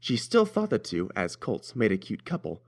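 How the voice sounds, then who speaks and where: A man's voice: on the low side, huge pitch swings, moderate pace. A man narrating a scripted story as its reader.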